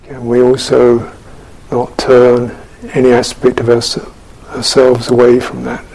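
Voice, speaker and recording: Slow, husky man guiding meditation. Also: medium pitch, completely flat delivery, darkish tone, poor audio. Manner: measured, contemplative, with long pauses. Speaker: Buddhist monk teaching meditation. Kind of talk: guided meditation and dharma talk.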